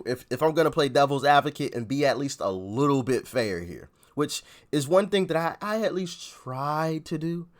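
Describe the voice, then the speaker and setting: A man talks loosely through his opinions, stumbling and repeating himself as he goes. He sounds like an everyday host on a reaction channel. His voice sits at an ordinary middle pitch. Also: a bit bright. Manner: rambling, repeats words, chuckles. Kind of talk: casual reaction commentary.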